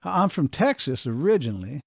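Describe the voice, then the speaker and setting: A man's voice: a little high, very animated, moderate pace, creaky, slightly rough, no room sound, studio quality, exaggerated voice. A man voicing a wary, evasive young woman who ran away from home, in an audiobook. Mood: guarded. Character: young woman runaway debutante, guarded. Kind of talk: audiobook character voice.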